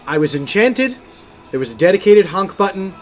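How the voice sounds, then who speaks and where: A man's voice: sits high, big pitch swings, normal speed. A man co-hosting a gaming podcast, chatting casually and enthusiastically. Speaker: podcast co-host and game streamer.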